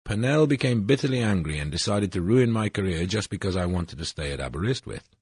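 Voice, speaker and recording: An older man from Wales, reading a formal, aggrieved personal statement in a measured way. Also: fairly low pitch, expressive, a little mellow, slightly rough, no echo.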